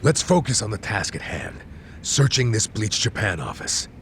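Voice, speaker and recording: An actor playing a practical ally who speaks in a steady, matter-of-fact way in a video game. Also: male voice, on the low side, normal speed, a little hiss or hum.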